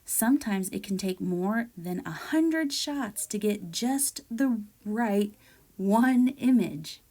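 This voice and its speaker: A woman reading a children's picture book aloud in a deliberate, expressive storytelling manner. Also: medium pitch. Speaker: read-aloud storyteller, teacher-like presenter.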